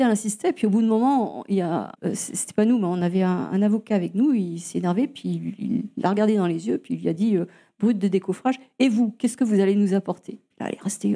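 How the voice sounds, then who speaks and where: A woman's voice: medium pitch, brisk, vocal fry, mellow. A woman from Alsace answering interview questions about her nature charity's land, talking hesitantly with many fillers and restarts, and telling stories as she goes. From Alsace, France. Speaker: guest, nature charity representative.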